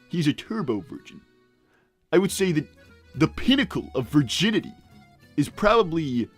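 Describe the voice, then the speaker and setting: A man voicing a brash middle-aged hedgehog dad in improvised livestream comedy, rambling and blunt, with halting tangents. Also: fairly high pitch, slightly rough. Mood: smug, fatherly. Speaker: character host reading viewer messages.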